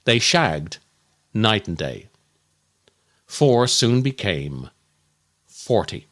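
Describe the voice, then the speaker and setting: Slow, animated man telling dark comic tales. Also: on the low side. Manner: dramatic, deliberate, darkly comic delivery. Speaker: comedian and podcast host. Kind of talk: scripted comic monologue storytelling.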